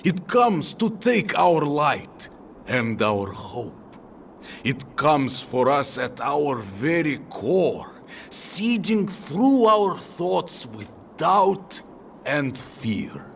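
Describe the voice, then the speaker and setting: Guy doing a solemn heroic warrior giving big speeches, slow n very dramatic swings, kinda distant noisy mic. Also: fairly high pitch, sing-song, gravelly, exaggerated voice. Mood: grave, solemn. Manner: oratorical, measured, impassioned. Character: noble, earnest male warrior rallying his comrades with solemn conviction. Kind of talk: dramatic speech in a fan fiction audio drama.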